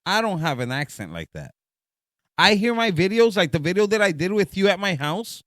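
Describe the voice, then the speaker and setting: A man's voice: sits high, sing-song, brisk, a bit bright, no echo, studio quality. A man with a Puerto Rican accent, co-hosting a casual podcast chat, insistent and laughing as he talks back with some swearing. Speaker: podcast co-host.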